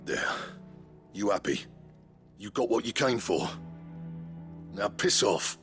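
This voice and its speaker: An actor playing a gruff, proud older blacksmith in a video game, sullen, blunt and foul-mouthed. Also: male voice, expressive, takes it slowly, a bit bright, soft background music. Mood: angry. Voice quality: deep, gravelly. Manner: blunt, grumbling, curt.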